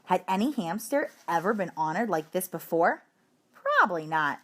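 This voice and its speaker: Animated woman reading a children's story. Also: lowish voice, normal speed. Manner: animated, emphatic delivery. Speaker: audiobook reader. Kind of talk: expressive children's story narration.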